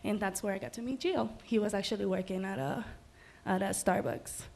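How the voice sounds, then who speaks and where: A woman's voice: mid-range voice, fairly quick, gravelly, a little mellow. A young woman from the Dominican Republic, a musical guest chatting casually in an interview, upbeat and full of ums. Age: young adult.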